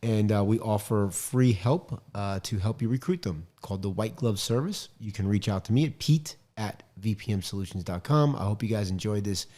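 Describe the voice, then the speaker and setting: A middle-aged man hosting an interview podcast from a home studio, upbeat and joking, with quick interjections into a studio mic. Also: lowish voice, dark tone. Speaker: podcast host. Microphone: studio mic on boom arm, headphones.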